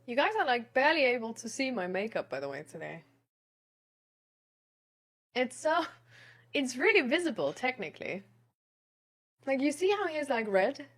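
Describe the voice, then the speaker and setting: A woman's voice: high-pitched, big pitch swings, normal speed, pauses often. A woman livestreamer chatting casually with her viewers in a hesitant, rambling way that often trails off. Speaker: live streamer.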